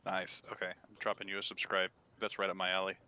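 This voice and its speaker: An easygoing, soft-spoken man co-hosting a voice chat. His voice is sharp and bright, and the recording is dry and close. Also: sits low, completely flat delivery, fast talker, smooth, no room sound. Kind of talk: casual voice chat.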